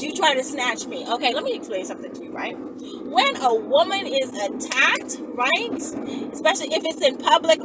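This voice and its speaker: Female vlogger, very high voice, emphatic and foul-mouthed casual storyteller, recorded away from the mic. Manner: animated, emphatic, sweary. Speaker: channel host. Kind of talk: casual self-defense storytelling, acted-out scenario. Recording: distant mic with clear background noise.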